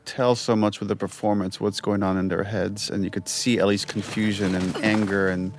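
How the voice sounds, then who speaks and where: Man, low voice, casual game director commentary, noticeable background noise. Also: little pitch movement, normal speed.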